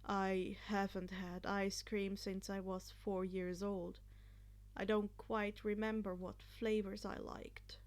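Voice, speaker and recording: A woman voicing a quiet, subdued teenage boy who sounds soft and unsure in a story reading. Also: medium pitch, flat delivery, slow pace, pitched below the performer's own voice, lightly acted.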